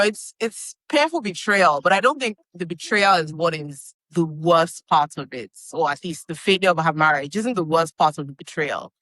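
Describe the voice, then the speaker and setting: Lady guest on a podcast, lowish voice, firm opinions, dry mic. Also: fairly bright tone, dry recording. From Nigeria. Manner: articulate, opinionated. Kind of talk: casual conversation.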